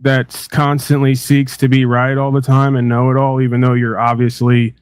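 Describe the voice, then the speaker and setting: A man's voice: mid-range voice, completely flat delivery, normal speed, clear, resonant tone, no echo, studio quality. A man in a casual chat, lecturing insistently and piling on points. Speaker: panelist.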